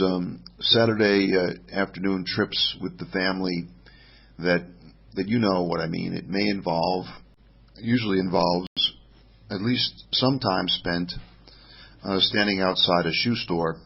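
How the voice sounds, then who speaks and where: A man's voice: sits low, gravelly, slightly rough, dull audio. A middle-aged man hosting a casual solo podcast from home, rambling with many fillers and restarts. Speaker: podcast host.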